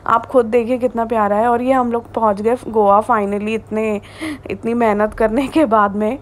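Woman in her 20s, casual vlog host, worn-out, sleepy delivery, phone selfie mic, slightly high voice. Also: steady tone, moderate pace. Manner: chatty, tired, mixes in English words. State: exhausted and sleepy. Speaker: vlogger. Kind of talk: casual travel vlog narration. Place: roadside by a car, outdoors. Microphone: phone selfie at arm's length.

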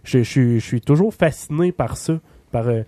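A man with a Québécois French accent, a podcast guest speaking with halting enthusiasm. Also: medium pitch, mellow, dry recording. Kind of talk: casual podcast chat.

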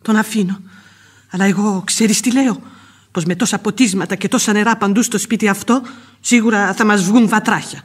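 Radio drama: woman playing a sharp-tongued, chatty servant nanny, husky, grumbling in folksy sayings. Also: medium pitch, steady tone, lightly acted. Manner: chatty, folksy, talking back with proverbs. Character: outspoken, grumbling Spanish village housekeeper, old nanny. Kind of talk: Greek radio theatre acting.

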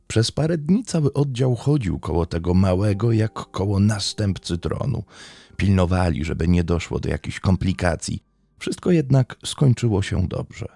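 A man narrates scripted true crime in a dark, mellow voice. His delivery is measured and literary, yet the pitch moves expressively. The sound is close and dry. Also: vocal fry, breathy edge, no room sound.

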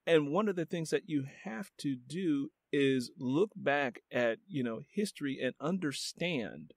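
A man's voice: on the high side, lively intonation, measured pace, no echo, studio quality. A man hosting a podcast interview, musing reflectively and leaning on "you know" as he talks. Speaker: podcast host. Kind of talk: casual interview talk.

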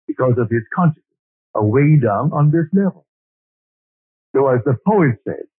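A man's voice: medium pitch, husky, no echo. Older man lecturing on an old tape. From Barbados. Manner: earnest storytelling, quoting scripture and poetry. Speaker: lecturer on scripture. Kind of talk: spiritual lecture. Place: lecture hall. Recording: old lo-fi tape recording, phone-like band.

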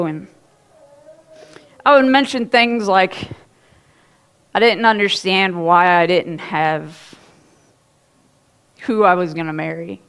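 A woman's voice: mid-range voice, clear, resonant tone. Woman, pastor, sermon, chatty storytelling, church stage, headset mic. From Ohio. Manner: chatty, animated storytelling with laughs and asides.

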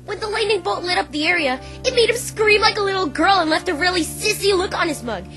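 Woman voicing a high-pitched brash young pegasus pony. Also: animated, fast talker, sharp tone, full character voice. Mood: boastful. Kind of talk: fan dub voice acting of a visual novel. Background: music.

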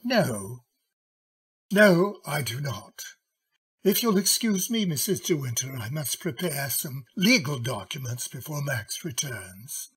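An actor playing a courtly, cautious middle-aged gentleman lawyer in an old-time radio drama, husky, courteous and hesitant. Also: on the high side, big pitch swings, slow pace, faint music behind, heavily acted. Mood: guarded, grave.

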